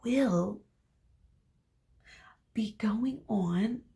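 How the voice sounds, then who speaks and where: Woman acting out a theatrical, slow, menacing schoolteacher. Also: medium pitch, vocal fry, warm tone, pitched above the performer's own voice. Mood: teasing suspense. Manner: drawn-out dramatic pauses, exclaiming.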